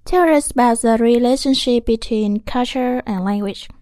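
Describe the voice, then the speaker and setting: Woman reading exam questions clearly. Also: a little high, moderate pace, dark tone, no echo, studio quality. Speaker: examiner reading interview questions. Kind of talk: scripted read-aloud questions.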